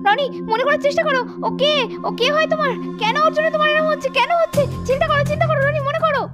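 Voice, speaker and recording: A woman plays a caring young doctor in a cartoon story. Her voice is very high and rapid, earnest and insistent. Music runs behind her. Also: fairly even intonation. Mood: urgent.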